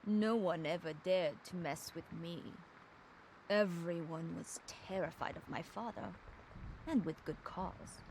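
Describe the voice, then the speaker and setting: A woman's voice: lowish voice, slight background noise, lightly acted, rough recording. An actress playing a proud, fierce young woman enforcer, delivering a solemn, controlled dramatic monologue for a video game audio log.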